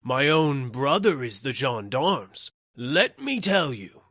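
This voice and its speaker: An indignant working man acted by a male narrator pleads his case in a storybook. His voice is pushed high and swings widely as he whines and wheedles. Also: sing-song, slow, fairly bright tone, no room sound, clean studio sound, heavily acted. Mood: indignant, wheedling. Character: aggrieved, whining male workman seeking damages. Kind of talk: audiobook character acting.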